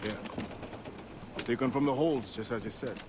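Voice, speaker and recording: An actor playing a proud, dignified pirate quartermaster in a video game, speaking in formal, measured words. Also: male voice, mid-range voice, lightly acted. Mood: practical. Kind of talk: cinematic video game acting. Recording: clear shipboard background noise.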